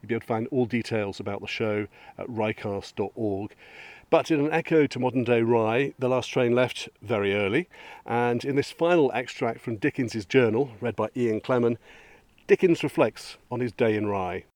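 A man's voice: medium pitch, moderate pace, a little mellow. A man hosting a local podcast, interviewing and presenting in a conversational way.

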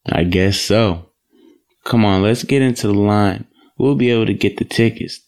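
A man playing a courteous, earnest young gentleman courting his sweetheart in an audio drama. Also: lowish voice, normal speed, natural voice.

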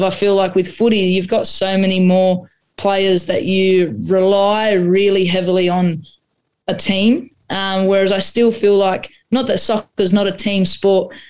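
An Australian woman whose first language was Croatian, giving long interview answers. Her voice stays flat and level at a middling pitch, and she often restarts her sentences and leans on fillers. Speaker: guest, AFLW footballer.

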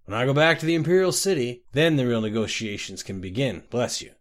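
A man's voice: medium pitch, brisk, natural voice. A man voicing a tipsy male clerk who rambles boastfully, slipping on his words. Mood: rambling tipsy. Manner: boastful, rambling, slurring slips.

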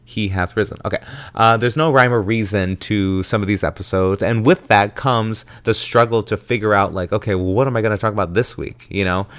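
Man, 30, from the American South, solo podcast host; low, smooth, dry-miked, chatty and dramatic. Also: a little fast, no echo. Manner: chatty, animated, self-dramatizing with frequent tangents.

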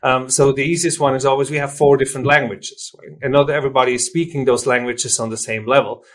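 Swiss german guy being interviewed, native german speaker, medium pitch, kinda halting, chatty, keeps rewording stuff. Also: little pitch movement, fairly bright tone. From Switzerland. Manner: informal, halting, self-correcting explanations. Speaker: software company representative, interview guest. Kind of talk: conversational product interview.

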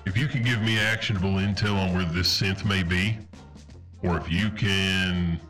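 A man's voice: low voice, sharp tone, recorded from a distance. A man playing a stern, earnest armored soldier in a roleplaying audio drama, insistent and official, a little away from the microphone. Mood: businesslike. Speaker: game player voicing a character.

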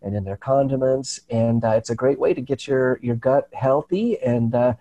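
Slightly raspy man hosting a remote show. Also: medium pitch, warm tone, muffled recording. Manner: earnest, halting, many restarts and fillers. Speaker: host. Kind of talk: casual health talk show chat. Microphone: remote video call.

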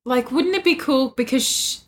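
A woman's voice: high voice, moderate pace. A woman co-hosting a podcast in casual chat, giggly, playful and opinionated. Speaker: book podcast co-host.

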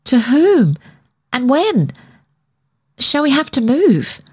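A woman's voice: high-pitched, sing-song, slow, voice pushed up, full character voice. A woman reader voicing an anxious, wry wife in a story, plaintive and exclaiming. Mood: dismayed.

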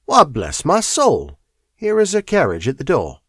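A man voicing a brisk, self-assured police inspector who speaks confidently and indulgently. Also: a little high, huge pitch swings, fairly bright tone, husky, no room sound, studio quality, voice pushed up, full character voice.

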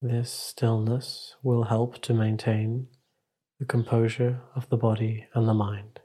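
Slow, soft-edged man guiding meditation. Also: medium pitch, slightly rough. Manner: calm, measured, instructive with long pauses. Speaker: meditation teacher. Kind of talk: guided meditation.

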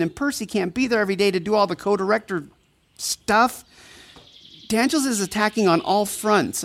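Protective old friend, female voice, mid pitch, very expressive intonation, earnest, insistent, worried, podcast drama. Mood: worried, defeated. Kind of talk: fiction podcast drama acting.

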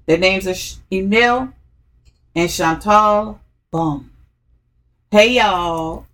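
Slow-talking chatty woman podcast host. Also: medium pitch, fairly bright tone. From DMV area, US. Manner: chatty and upbeat, with frequent fillers. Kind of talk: casual interview podcast.

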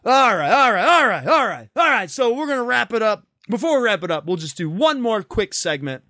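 Animated, bright-voiced young man hosting. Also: sits high, a little fast, no echo, clean studio sound. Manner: animated, laughing, breaks into loud shouted voices. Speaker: podcast host. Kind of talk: casual podcast banter.

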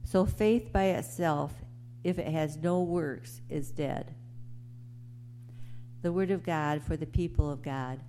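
Woman reading bible passage, soft mellow tone, really unhurried, bit of background hum. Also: sits low. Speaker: scripture reader. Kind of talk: scripture reading aloud. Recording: clear background noise with hum.

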